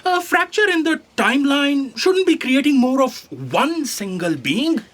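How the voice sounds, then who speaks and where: A woman's voice: high-pitched, big pitch swings, unhurried. A woman playing a sceptical, no-nonsense analytical aide, dry and exasperated, in an audio drama.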